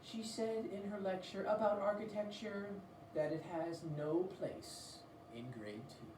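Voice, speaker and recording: A woman's voice: medium pitch, a little slow. A woman reading a children's story aloud in a playful, theatrical way, with asides to the listener. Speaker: read-aloud storybook reader. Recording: roomy, distant mic, faint noise.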